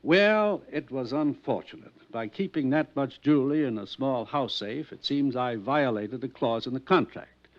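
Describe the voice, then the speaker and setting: An actor playing a wealthy, courteous mansion owner in a 1950s radio drama, speaking smoothly and guardedly. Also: male voice, medium pitch, lively intonation, moderate pace, lightly acted. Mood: polite. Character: wealthy polished mansion owner, male, middle-aged. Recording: dull old radio transcription.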